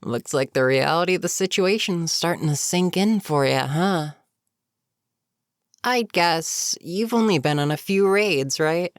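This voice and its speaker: A voice actor playing a bitter, menacing goblin captain in a fantasy audio roleplay, speaking to a captive with sardonic, unhurried menace. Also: medium pitch, smooth, no echo, studio quality, lightly acted. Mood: wry, probing. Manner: sardonic, unhurried, matter-of-fact threats. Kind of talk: scripted fantasy audio roleplay, addressing the listener.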